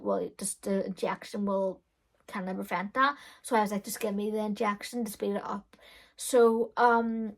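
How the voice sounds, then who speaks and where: Chatty young woman, gravelly. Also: mid-range voice. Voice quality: loud voice. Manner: chatty, frank, with frequent fillers and laughs. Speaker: beauty vlogger. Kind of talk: casual storytelling.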